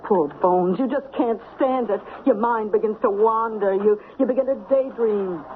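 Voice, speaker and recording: A thin, phone-like old radio broadcast carries an actress as a sharp-witted, blunt American woman. She is streetwise and bossy, throwing out slangy, emphatic commands over faint noise in a mid-pitched voice. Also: moderate pace, natural voice. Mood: ominous. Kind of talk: American old-time radio drama acting. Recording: tinny, noisy 1970s radio broadcast.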